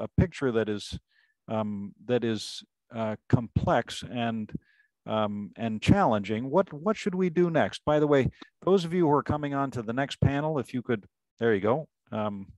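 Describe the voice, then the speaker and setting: A man's voice: medium pitch, dry recording. A middle-aged man moderating a virtual panel over a headset mic, talking with frequent restarts and fillers. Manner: warm-mannered, restarts, many fillers. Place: home room, indoors. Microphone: headset mic on video call.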